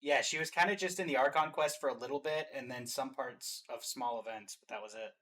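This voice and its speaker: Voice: medium pitch, brisk, a bit bright. A host giving casual, opinionated fan commentary in a reaction show.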